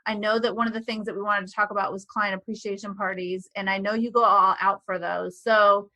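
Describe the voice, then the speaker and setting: A woman's voice: mid-range voice, flat delivery, a little fast, bright, forceful tone, poor audio. A woman hosting a podcast, talking casually and gushing with praise for her guest. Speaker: podcast host.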